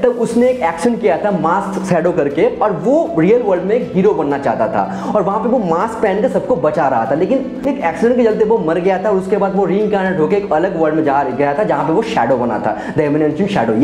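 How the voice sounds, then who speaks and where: A man's voice: sits high, dark tone. A young Indian man giving casual, enthusiastic anime commentary for online viewers, often repeating phrases for emphasis. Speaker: YouTube anime reviewer. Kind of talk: casual video commentary addressed to friends. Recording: roomy echoey sound, faint music behind. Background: faint background music.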